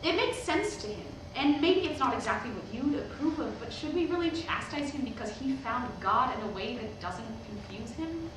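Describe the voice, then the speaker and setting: A woman's voice: sits high, lively intonation. Woman playing an earnest teenage preacher's daughter, echoey hall. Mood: earnest, resolute. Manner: halting, hesitant public address with rhetorical questions. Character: earnest eighteen-year-old preacher's daughter, nervous but defiant. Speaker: stage actor in a staged reading. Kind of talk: sermon in a staged play reading. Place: indoor hall, recorded from the audience. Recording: very echoey hall, distant microphone, noisy.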